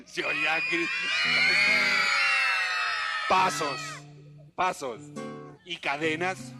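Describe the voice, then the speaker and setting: Guy with a really high voice doing a kids singalong story show, hammy, coaxing, guitar going. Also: drawn-out words, raised voice. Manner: theatrical, exclamatory, coaxing. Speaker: children's entertainer, singer-storyteller. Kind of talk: interactive musical storytelling for children. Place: live outdoor show for children. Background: acoustic guitar.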